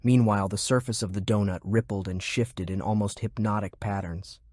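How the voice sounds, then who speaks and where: A man reading scripted fiction narration in a measured, deliberate way. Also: lowish voice, fairly even intonation, a little mellow, no echo, clean studio sound. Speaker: audiobook narrator. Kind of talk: scripted narration of a fantasy war novel.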